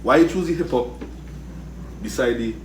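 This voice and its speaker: A man's voice: medium pitch, normal speed. A man hosting an interview, chatty and often echoing the guest's words back. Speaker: interviewer host. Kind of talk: casual interview. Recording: echoey room, distant mic, faint noise.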